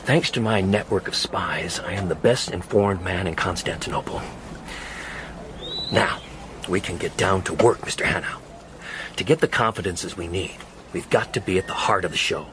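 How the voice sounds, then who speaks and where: An actor playing a shrewd, folksy fixer and spymaster, in a radio drama. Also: male voice, low-pitched, moderate pace, noisy background, lightly acted. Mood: wry.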